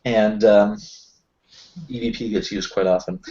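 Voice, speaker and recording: A man improvising in a tabletop roleplaying session, talking haltingly and often searching for his words. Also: fairly low pitch, fairly even intonation, mellow, husky. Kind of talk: improvised in-character roleplay chat. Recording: dull lo-fi voice recording.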